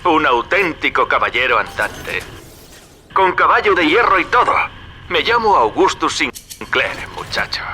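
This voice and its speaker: A man's voice: a little high, sing-song, unhurried, bright, forceful tone, slight background noise, heavily acted. An actor playing a slick, jaunty middle-aged businessman ally in a video game, wry and folksy. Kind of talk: dramatic video game dialogue.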